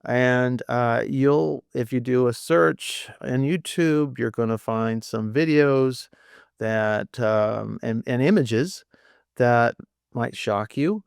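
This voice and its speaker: A man in his sixties hosts a laid-back podcast conversation, rambling in a friendly way with many fillers. His voice has a gravelly edge, heard dry and close with no room sound, at a middling pitch. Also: slow, no echo. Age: 60s. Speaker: podcast host. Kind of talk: casual conversational interview.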